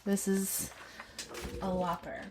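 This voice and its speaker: A woman's voice: mid-range voice, level tone, slow, darkish tone, a little hiss or hum, rough recording. A woman co-hosting a podcast, talking casually about films and puzzling things out aloud. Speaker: podcast co-host. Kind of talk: casual film review chat.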